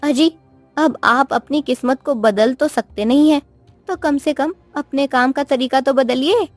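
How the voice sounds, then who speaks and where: A woman's voice: high-pitched, unhurried, dry recording, faint music behind. A woman voicing a poor, wistful village wife who nags and pleads in a cartoon story. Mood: nagging. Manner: plaintive, pleading. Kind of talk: Hindi cartoon moral story voice acting.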